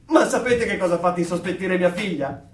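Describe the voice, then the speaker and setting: An actor in a radio drama playing a gushing, proud parent and eager conformist, chatty, emphatic and theatrically amazed. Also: male voice, high-pitched, fast talker, lightly acted. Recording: echoey room, distant from mic.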